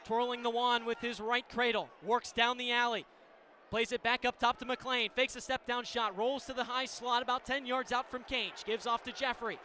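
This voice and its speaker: High-pitched male lacrosse play-by-play announcer, noisy venue. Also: little pitch movement, normal speed. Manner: vivid, clipped running description. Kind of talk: live radio sports commentary. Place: sports venue broadcast position. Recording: loud arena noise behind commentary. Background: loud game ambience.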